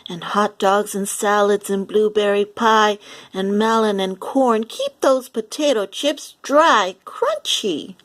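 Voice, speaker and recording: Slow-paced woman reading a children's story. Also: medium pitch. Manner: animated, deliberate, acts out lines and sound effects. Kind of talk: expressive read-aloud of a picture book for young children.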